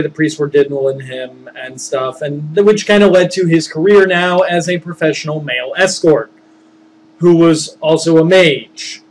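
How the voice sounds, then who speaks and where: A man telling a story casually, rambling and halting as he searches for words and digresses. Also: on the high side, slight background noise, poor audio. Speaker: storyteller, former tabletop game master.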